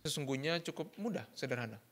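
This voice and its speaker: A man interpreting a sermon live, talking quickly and often restarting with fillers and self-corrections. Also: mid-range voice, animated, a bit bright. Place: church.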